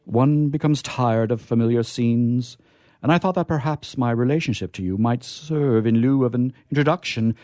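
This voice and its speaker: An actor playing a naive, courtly angel in a comic radio play, with formal, florid, hesitant phrasing. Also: male voice, mid-range voice, moderate pace, warm tone, no echo, studio quality, close to an everyday voice. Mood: polite, hesitant. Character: naive, courtly, curious male angel discovering earthly pleasures.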